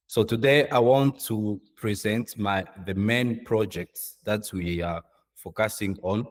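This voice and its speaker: Deep-voiced man presenting, halting English. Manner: halting, earnest, non-native English phrasing. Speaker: guest speaker, social entrepreneur. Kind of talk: informal presentation of own work. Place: online video call.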